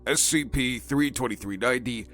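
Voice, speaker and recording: A man's voice: mid-range voice, moderate pace, fairly bright tone. Man narrating a clinical report, faint music.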